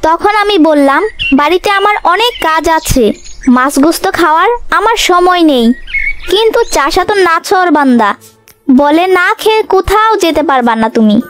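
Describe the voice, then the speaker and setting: Woman as a very high-pitched sly cartoon fox. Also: lively intonation, a little slow, fairly bright tone, faint music behind, heavily acted. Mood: wily, complaining. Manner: wily, dramatic, chatty. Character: sly, greedy, hungry young fox trickster. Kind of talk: children's cartoon character dialogue.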